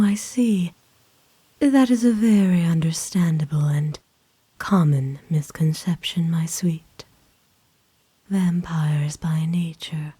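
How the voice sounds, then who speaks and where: A woman voicing a kind, doting aristocratic vampire in an ASMR roleplay, speaking formally and tenderly in a soft, breathy whisper. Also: on the low side, dry recording, full character voice. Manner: formal, genteel, tender, unhurried. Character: kind, doting aristocratic female vampire owner, gently possessive.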